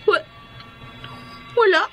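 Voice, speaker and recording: A woman's voice: very slow, muffled recording. A woman playing a terrified, haunted woman in a horror radio drama, trembling and tearful. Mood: shaken, fearful. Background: faint music.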